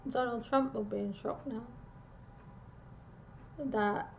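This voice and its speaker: A woman giving a casual, meandering psychic reading, pausing and restarting often, on a dull lo-fi recording. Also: a little high, steady tone, creaky, a little hiss or hum. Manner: meandering, with frequent restarts and pauses. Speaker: psychic reader.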